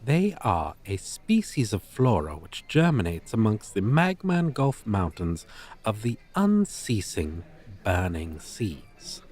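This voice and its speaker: A man's voice: on the low side, huge pitch swings, takes it slowly, darkish tone, heavily acted. A man playing a haughty, formal dragon teacher in an audio roleplay, with pompous, wordy, old-fashioned phrasing. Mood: haughty, amused. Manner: pompous, verbose, archaic formal diction. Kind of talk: ASMR roleplay, character acting.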